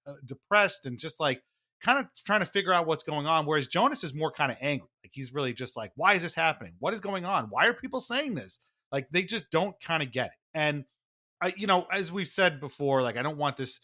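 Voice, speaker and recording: A man with a fairly high, bright and forceful voice co-hosts a sports podcast. He delivers opinionated commentary emphatically, with a wry note of exasperation. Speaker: podcast co-host.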